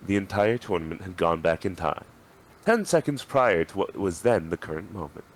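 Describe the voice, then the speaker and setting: A man's voice: low-pitched, lively intonation, moderate pace. A man narrating a story aloud as a dramatic reading.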